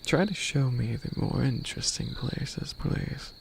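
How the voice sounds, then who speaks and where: A man playing a centuries-old skeleton demon lord in an ASMR roleplay, soft-spoken and partly whispering, hesitant and curious, with old-fashioned formal phrasing. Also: medium pitch, measured pace, creaky, background noise. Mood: polite, curious.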